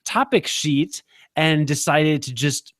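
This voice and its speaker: A man co-hosting a podcast, teasing his partner in a dry, quick-witted way. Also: on the high side, expressive, fairly bright tone, no room sound. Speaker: podcast co-host. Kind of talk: casual podcast banter.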